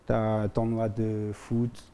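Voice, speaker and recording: A man, a music artist, answering questions in a relaxed, hesitant way. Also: fairly low pitch, slow pace, clean tone. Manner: relaxed, hesitant, searches for words. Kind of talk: casual interview answers.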